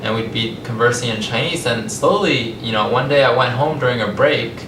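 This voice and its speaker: A young American man speaks to listeners as a storyteller, conversational and measured, pausing deliberately between phrases. His medium-pitched voice comes from some distance in a reverberant space, over loud rumbling noise. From California, United States. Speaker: language company founder giving a talk. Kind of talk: public talk, personal storytelling. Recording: echoey, distant mic, rumbling noisy room.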